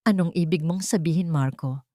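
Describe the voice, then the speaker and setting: A woman's voice: fairly low pitch, normal speed, mellow, no echo, studio quality, close to an everyday voice. A woman playing a wounded young mother. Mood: hurt. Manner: pleading, emotional. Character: wounded young mother, loving, quietly resolute.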